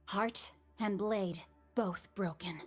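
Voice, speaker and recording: A scarred female warrior from a video game, solemn and unhurried. She speaks in short, dramatic lines, burdened by remorse yet resolute. Music plays underneath. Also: mid-range voice. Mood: somber, weary. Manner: solemn, terse, dramatic delivery.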